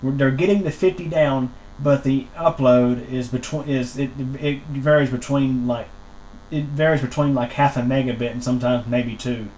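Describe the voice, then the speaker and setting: A man explaining a technical complaint as a customer on a phone call, wordy and halting, often repeating himself. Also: mid-range voice, fairly even intonation, darkish tone, a little hiss or hum. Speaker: customer calling internet support, channel host.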